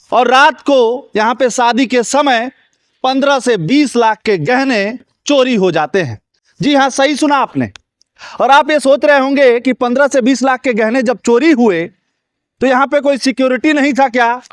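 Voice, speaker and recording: High-voiced man reporting on the spot. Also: no room sound, clean studio sound. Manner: urgent, indignant, questioning. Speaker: local news reporter. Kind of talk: on-the-spot news report with interviews. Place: outdoors at a wedding lawn.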